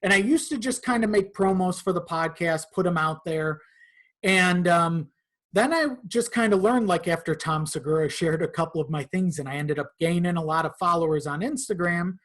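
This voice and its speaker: A man's voice: high voice, normal speed, a bit bright. A man speaking informally as a podcast guest, in a casual conversation peppered with swearing. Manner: informal, profane.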